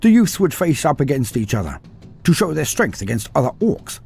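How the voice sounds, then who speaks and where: A man reading a scripted story aloud with dramatic, expressive delivery. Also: a little high, fairly quick, creaky, faint music behind. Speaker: narrator.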